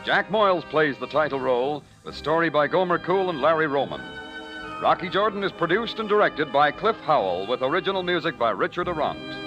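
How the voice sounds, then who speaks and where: An American man announces in old-time network radio style. His voice is fairly high and swings widely in pitch, over prominent music. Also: lively intonation, fairly quick, dull audio.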